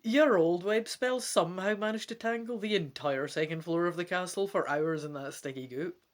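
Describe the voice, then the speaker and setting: A man's voice: high voice, normal speed, close to an everyday voice. A man voicing a stern, ancient female elf tutor who admonishes her pupil in a dramatic gamebook reading. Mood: teasing. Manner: sharp, hushed, admonishing. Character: stern two-hundred-year-old female elf tutor and bodyguard.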